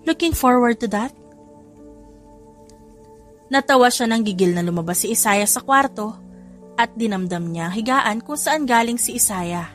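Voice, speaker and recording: A woman's voice: medium pitch, expressive, moderate pace. A woman with a Filipino accent narrates a scripted romance story. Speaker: audiobook narrator. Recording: music behind the voice. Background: background music.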